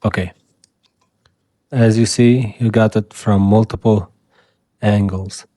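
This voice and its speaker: A man whose first language is Arabic, hosting a software tutorial and calmly explaining each step as he clicks through it. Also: on the low side, takes it slowly, no echo, studio quality. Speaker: tutorial host. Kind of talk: screen-recorded software tutorial.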